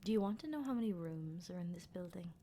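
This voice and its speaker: A woman playing a teasing, sardonic female vampire captor in an ASMR roleplay, speaking in an unhurried, breathy half-whisper. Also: lowish voice, animated, mellow, a little hiss or hum, close to an everyday voice. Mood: wry, toying. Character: playful, menacing female vampire captor, decades old.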